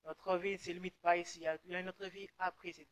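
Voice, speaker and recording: Young guy, bit high voice, earnest, repeats himself a lot. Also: flat delivery, fast talker, no room sound, rough recording. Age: young adult. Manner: earnest, repeats words while searching. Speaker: guest.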